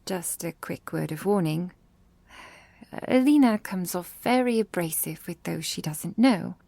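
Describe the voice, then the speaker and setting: A woman voicing a gentle, protective vampire girlfriend in an intimate ASMR roleplay, tender and slightly formal, often dropping to a breathy whisper. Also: on the low side, expressive, unhurried, no echo, heavily acted. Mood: gentle warning.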